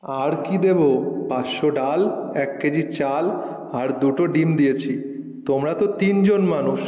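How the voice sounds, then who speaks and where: A helpful village shopkeeper from a cartoon fable, acted by a man. He is familiar and talkative, with a highish voice. Long reverb surrounds his speech. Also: normal speed, mellow, natural voice. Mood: brisk, obliging. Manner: chatty, familiar, obliging. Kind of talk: Bengali moral-story cartoon dialogue.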